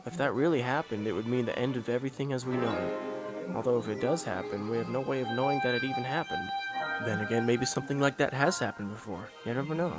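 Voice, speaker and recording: A man voicing a calm, polite teenage esper boy in an amateur anime fan dub, explaining things in a composed, courteous way. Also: mid-range voice, fast, close to an everyday voice. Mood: calm, explanatory. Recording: loud music under the voice.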